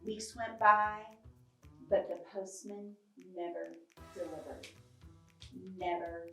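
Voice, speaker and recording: A woman's voice: lowish voice, steady tone, slow pace, far from the mic. A woman voicing a hard-boiled cat detective who narrates a storybook case in a dramatic, clipped noir manner. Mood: brooding, determined. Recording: roomy, off-mic, music behind.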